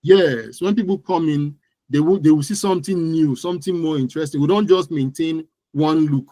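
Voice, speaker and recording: A man's voice: on the high side, moderate pace, mellow, no room sound. A man with a Nigerian accent answering as an interview guest, speaking conversationally and repeating phrases for emphasis. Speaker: interview guest, digital marketing entrepreneur. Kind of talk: conversational interview about own business.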